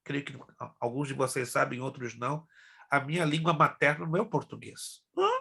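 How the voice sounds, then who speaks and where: A middle-aged pastor teaching an online class, his voice gravelly and a little husky. He grew up with Hebrew and Spanish as his first languages. He talks in a chatty way and keeps turning to speak straight to the class. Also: medium pitch, a little fast, bright, forceful tone. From Brazil. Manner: chatty, addresses class directly.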